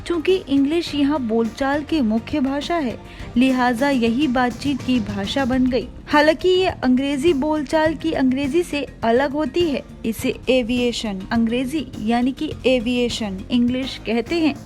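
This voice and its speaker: A woman with a high-pitched voice presents a scripted explainer as a video host. She speaks to the viewers in a presenter's manner. Soft music plays behind her. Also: measured pace. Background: background music.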